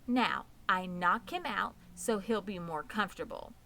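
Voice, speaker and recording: A woman's voice: medium pitch, lively intonation, a little slow, creaky, pushed and bright, a little hiss or hum, heavily acted. A woman voicing a poised, pleasant and proud female spider in a children's storybook, composed, precise and matter-of-fact. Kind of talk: children's storybook read aloud.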